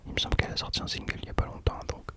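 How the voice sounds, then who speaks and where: A young man whispering casual ASMR commentary as a host, hesitantly searching for his words. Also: very fast.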